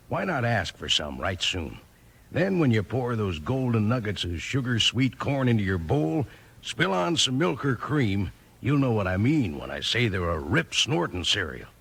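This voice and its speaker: A man's voice: on the low side, sing-song, normal speed, gravelly, a bit bright, husky. A man with an American accent giving a folksy, emphatic 1950s radio commercial. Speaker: commercial announcer. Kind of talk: 1950s radio ad pitch with cowboy slang.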